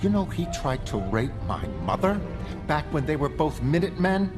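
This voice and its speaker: A man's voice: on the high side, very animated, moderate pace. A man acting as an outspoken, heated character with a blunt, confrontational manner. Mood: indignant, blunt. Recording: music clearly behind the voice.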